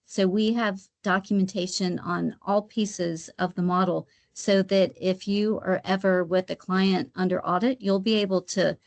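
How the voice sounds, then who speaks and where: A woman's voice: mid-range voice, fairly even intonation, a little slow, hardly any pauses. A woman giving an explanatory webinar presentation step by step, sometimes repeating her phrases as she goes. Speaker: guest presenter, credit rating specialist.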